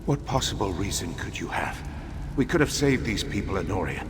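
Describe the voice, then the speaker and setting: An actor voicing the anguished ghost of an abandoned lover in a video game, intense, wounded and pleading. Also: male voice, lowish voice, animated, fairly quick, in a large hall, noisy background, natural voice. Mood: anguished, accusing. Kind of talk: dramatic video game dialogue.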